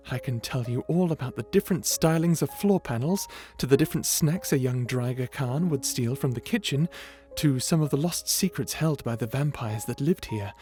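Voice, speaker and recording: Man playing a shy, stammering, higher-voiced dragon butler. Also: a little mellow, natural voice. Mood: earnest, proud. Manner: stammering, hesitant, deferential, formally polite. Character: shy polite old dragon butler, male, eager servant. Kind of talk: ASMR roleplay monologue.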